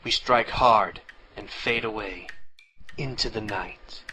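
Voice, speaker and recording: A man's voice: fairly low pitch, slow, noisy background. A man playing a proud teenage mutant turtle who narrates in a hard-boiled, terse, intense way for a fan voice comic. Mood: brooding. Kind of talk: dramatic comic-book narration. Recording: loud music under the voice. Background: loud dramatic music.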